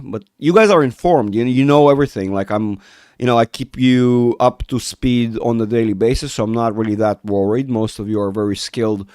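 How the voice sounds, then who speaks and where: A man hosting a live market chat with viewers, rambling and restarting sentences, his voice rough from a cold. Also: medium pitch, a little mellow, no room sound, studio quality. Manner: rambling, self-interrupting, reads chat aloud. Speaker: market analysis livestream host. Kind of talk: casual livestream chat with viewers.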